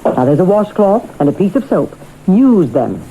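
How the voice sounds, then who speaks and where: A commanding, no-nonsense attendant in a radio drama. The voice is very dark yet animated in pitch. Faint noise sits on the old recording. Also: female voice, moderate pace. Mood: brusque, bossy. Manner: curt, commanding. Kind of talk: 1970s radio drama acting. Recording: muffled, noisy old radio broadcast.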